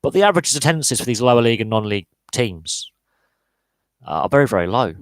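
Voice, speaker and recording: A man's voice: medium pitch, very animated, quick pace, no echo, studio quality. A man hosting a live podcast Q&A, talking through opinions with lots of fillers and restarts. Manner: opinionated, answers chat live, many fillers and repeated words. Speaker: podcast host.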